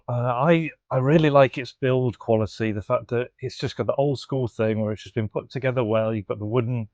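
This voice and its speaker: A man's voice: mid-range voice, a little mellow, dry recording, dull audio. A British man giving an informal, unscripted hands-on product demo and review, explaining as he goes, recorded on a body-worn mic in a garage. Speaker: host of retro gadget restoration channel, demonstrating a product. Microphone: body-worn microphone.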